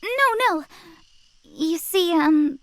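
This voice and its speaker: A woman's voice: extremely high pitch, expressive, drawn-out words, a bit bright, no room sound, full character voice. A woman playing a sweet, timid, earnest teenage girl in a visual novel, hesitant, polite and easily flustered.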